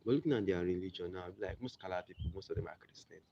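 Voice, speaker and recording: A man's voice: low-pitched, fast talker, mellow. A young man with a Nigerian accent, rambling through a casual explainer monologue with many restarts and asides. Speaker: video presenter. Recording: muffled lo-fi recording with background noise.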